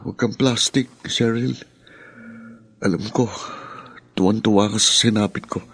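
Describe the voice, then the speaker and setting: A man's voice: fairly low pitch, fairly even intonation, normal speed, a bit bright, slightly rough, dry recording. A man playing a bitter, wounded young adult son with an accusatory, melodramatic delivery in a radio drama. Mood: resentful.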